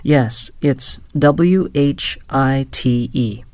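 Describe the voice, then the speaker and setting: A man reading scripted English listening dialogues, spelling names out slowly and clearly. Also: medium pitch, darkish tone, no room sound. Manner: slow, clear, careful enunciation. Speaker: voice actor in listening exercise.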